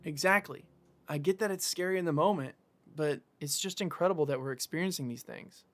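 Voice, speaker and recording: A man's voice: a little high, brisk, lightly acted. A male actor plays a put-upon, accused guy in an audio drama. He is plain-spoken and self-justifying, with faint music behind the voice. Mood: awed. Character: Aaron, accused man, defensive yet fascinated by the strange.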